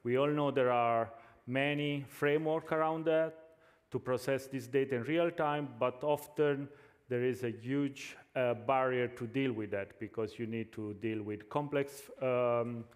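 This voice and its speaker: A man's voice: mid-range voice, steady tone, measured pace, in a large hall. A man with an Italian accent giving a tech conference talk, hesitant, with many fillers and restarts. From Italy. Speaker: conference speaker. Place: large conference hall.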